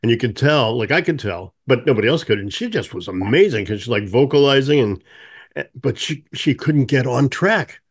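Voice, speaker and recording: A man hosting a music podcast, chatting in a relaxed way and telling stories with fillers. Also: mid-range voice, normal speed, slightly rough. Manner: relaxed, anecdotal, with fillers and laughs. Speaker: podcast host. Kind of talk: casual interview chat.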